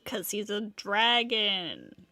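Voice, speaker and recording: A woman game streamer reacts casually and jokes with her chat. Her voice is bright and very lively in pitch, with faint game music behind it. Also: extremely slow. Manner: joking, exclamatory, talks to chat. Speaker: game livestreamer. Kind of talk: casual live reaction commentary.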